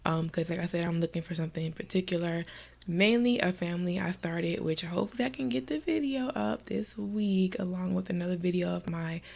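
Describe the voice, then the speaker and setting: Brief: woman vlogger, low gravelly voice, stuffy and nasal, relaxed filler-heavy chat. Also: fairly quick. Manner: chatty, many fillers, soft at times. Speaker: gaming vlogger. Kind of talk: casual screen-recorded tutorial chat.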